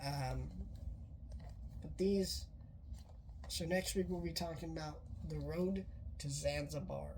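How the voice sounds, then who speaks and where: A woman with a lowish voice who is sick, and her voice sounds off. She reviews a film in a loose, rambling way, full of "um"s and restarts. Faint room ambience sits behind her. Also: takes it slowly, long pauses, darkish tone, slight background noise, rough recording. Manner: rambling, many filler words and restarts. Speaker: movie reviewer. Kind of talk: casual spoken film review.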